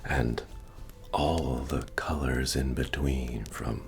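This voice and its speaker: A man playing a friendly, wry medieval fantasy innkeeper in a soft-spoken ASMR roleplay, with a gravelly murmur, folksy manner and gentle joking asides. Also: steady tone, slow pace, exaggerated voice. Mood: welcoming, wry, then teasing, wry. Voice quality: deep, gravelly, breathy murmur. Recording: soft music playing behind the voice. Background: bard-style music.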